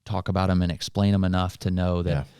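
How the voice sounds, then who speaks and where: A man's voice: sits low, level tone, quick pace, mellow, clear, resonant tone, no room sound, clean studio sound. A man co-hosting a podcast, explaining things in a casual, motivational conversational style. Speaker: podcast host.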